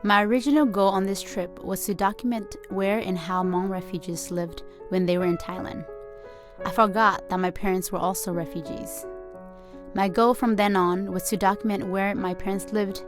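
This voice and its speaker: Calm young woman narrating. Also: medium pitch, music in the background. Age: 20s. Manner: calm, reflective, measured. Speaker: student filmmaker narrating her documentary. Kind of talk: scripted documentary narration.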